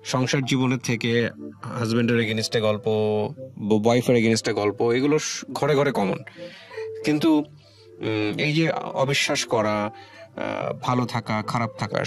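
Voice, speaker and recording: Bangladeshi male radio host over music. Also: medium pitch. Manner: reflective, deliberate, mixes in English words. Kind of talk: conversational life-story radio interview. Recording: music under the voice. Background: background music.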